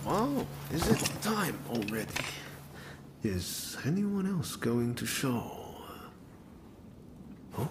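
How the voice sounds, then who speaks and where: An actor playing an earnest, idealistic samurai in a video game, sincere and easygoing. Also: male voice, medium pitch, sing-song, takes it slowly, long pauses, background noise. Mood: reflective. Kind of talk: video game cutscene acting.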